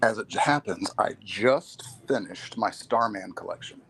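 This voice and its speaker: Here is a middle-aged man speaking as a podcast guest in a fairly low voice. His delivery is calm and measured, with some faint noise behind him. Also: low-quality recording. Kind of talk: casual podcast chat.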